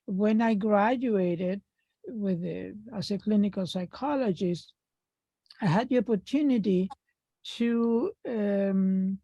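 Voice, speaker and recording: Slow, mellow older Argentine woman. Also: mid-range voice, no echo. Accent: Argentine Spanish. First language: Spanish. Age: 60s. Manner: unhurried, pausing often with fillers. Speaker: artist guest. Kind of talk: remote panel talk. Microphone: video call. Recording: dull lo-fi video call audio.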